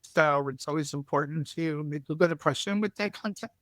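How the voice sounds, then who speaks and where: A woman with a deep voice, chatting as a guest on a podcast and telling stories in a friendly, talkative way. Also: brisk, dry recording. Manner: chatty, tells anecdotes freely. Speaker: shop staff member and guest. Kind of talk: casual podcast chat.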